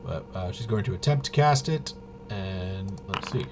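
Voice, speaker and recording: A man casually narrating tabletop roleplaying gameplay as game master, haltingly thinking aloud and muttering to himself as he goes. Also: sits low, animated, noisy background.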